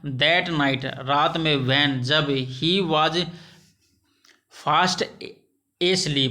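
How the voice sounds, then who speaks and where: A man teaching English through a story, translating each phrase into Hindi with halting, repetitive explanations. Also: on the high side, bright, forceful tone.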